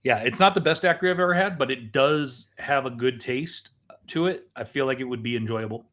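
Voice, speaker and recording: A man's voice: mid-range voice, brisk, a bit bright. A man talking casually as the co-host of a chat podcast.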